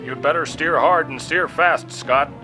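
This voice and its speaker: A man acts out a gruff father commanding a rescue from base. His voice is harsh, edgy and urgent, lifted above a plain reading tone. Dramatic music plays clearly behind this audio adventure. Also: on the high side, measured pace, natural voice. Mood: edgy warning.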